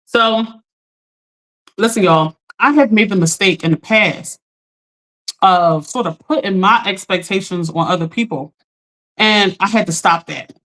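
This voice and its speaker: A woman's voice: unhurried, pauses often, poor audio. Casual woman hosting a live chat, voice still recovering. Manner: chatty, talks straight to chat, animated pitch jumps. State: recovering from lost voice. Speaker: livestream host.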